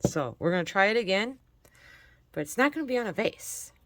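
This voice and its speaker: A woman's voice: mid-range voice, animated, brisk, no echo. A woman from Michigan hosting a crafting tutorial, chatting and encouraging viewers while she works, her voice congested from allergies. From Michigan, USA. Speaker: crafting channel host. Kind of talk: casual crafting tutorial chat.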